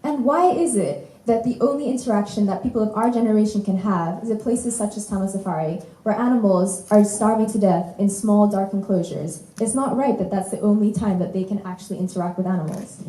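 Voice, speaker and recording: A woman's voice: mid-range voice, fairly quick, few pauses, dark tone. A teenage girl giving a rehearsed student presentation at a conference. She speaks earnestly and sometimes stumbles and corrects herself. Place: conference hall. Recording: roomy hall echo.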